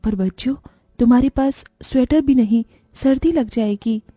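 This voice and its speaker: A woman's voice: on the high side, moderate pace, warm tone, no echo, lightly acted. A woman voicing a poor, hardworking mother in a cartoon story, loving and earnest, with an emotional delivery. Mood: tender.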